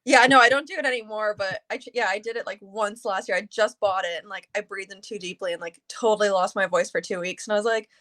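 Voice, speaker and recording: A woman's voice: mid-range voice, steady tone, quick pace, hardly any pauses, a bit bright. A woman in her early twenties, a singer from Canada, talking casually as an interview guest, chatty with many fillers. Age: 20s.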